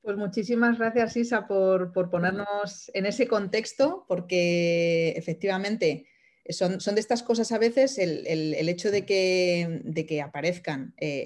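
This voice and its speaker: A woman's voice: fairly low pitch, a little slow, darkish tone. Hesitant woman hosting a video call. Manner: hesitant, many restarts and fillers. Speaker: event host. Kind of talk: introducing a speaker.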